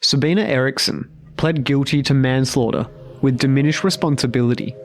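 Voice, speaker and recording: A man narrating a scripted true-crime story with measured, deliberate phrasing. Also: mid-range voice.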